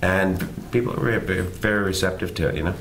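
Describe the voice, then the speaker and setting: Man, middle-aged, from canada, very low voice, easygoing and self-mocking, interview guest. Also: brisk, a little hiss or hum. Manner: relaxed, self-deprecating, rambling. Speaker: guest actor. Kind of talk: casual interview chat.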